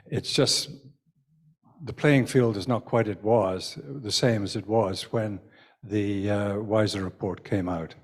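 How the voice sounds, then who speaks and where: A man's voice: on the low side, slow pace. A man speaking from the floor at a church meeting, wry and well-informed as he raises a zoning point. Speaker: parishioner asking a question. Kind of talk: public comment at a parish meeting.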